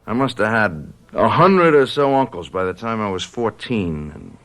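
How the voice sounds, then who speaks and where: Brash young man, radio drama, low pitch, very animated, stammering, slangy, evasive. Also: normal speed. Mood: agitated, bitter. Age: young adult.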